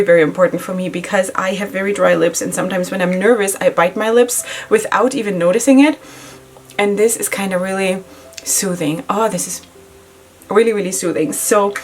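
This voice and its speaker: A middle-aged woman who speaks English as a second language chats casually through a makeup routine, giggling often and filling pauses with "you know" and "like". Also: medium pitch. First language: not English. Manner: chatty, giggly, many fillers. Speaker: beauty vlogger. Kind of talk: casual makeup tutorial chat. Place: home makeup setup in Israel.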